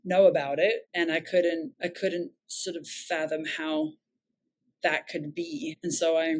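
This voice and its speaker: A woman's voice: low voice, little pitch movement, a little slow. A woman with an English accent, a nonprofit founder telling her story in an interview, reflective and earnest, often correcting herself mid-sentence.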